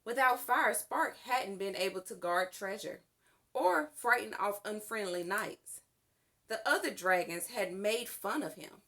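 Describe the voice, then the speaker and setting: A woman reading a children's story aloud in an expressive, deliberate storytelling manner. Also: medium pitch. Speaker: storybook reader.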